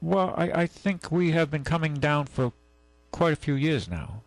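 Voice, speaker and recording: Male call-in host, gravelly, blunt, folksy; dry close mic. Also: a little high, animated, moderate pace, no room sound. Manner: blunt, folksy, talks with callers about car money. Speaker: radio call-in show host. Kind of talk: call-in radio chat.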